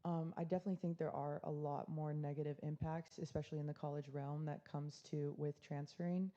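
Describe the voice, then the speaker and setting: A woman's voice: sits low, level tone, fairly quick, mellow, highs cut off. A young woman who is a student athlete, answering loosely on a panel with many likes and restarts. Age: young adult.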